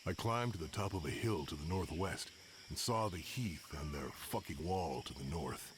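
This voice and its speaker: An actor voicing a brooding, hot-tempered orc warrior in a video game, speaking bluntly and cynically. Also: male voice, low-pitched, animated, normal speed, background noise. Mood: gruff, dutiful. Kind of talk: video game cutscene acting. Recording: loud outdoor ambience with insects.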